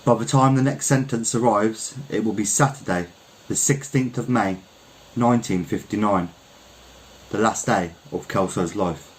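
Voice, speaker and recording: A man from Birmingham, England, reading a literary short story aloud in a measured, reflective manner. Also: on the low side, low-quality recording. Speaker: story reader.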